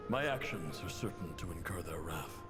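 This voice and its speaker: Proud desert chief, echoing, expressive. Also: male voice, sits low. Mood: grave, pleading. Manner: formal, measured, courteous. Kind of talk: dramatic video game letter narration. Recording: long reverb, music behind.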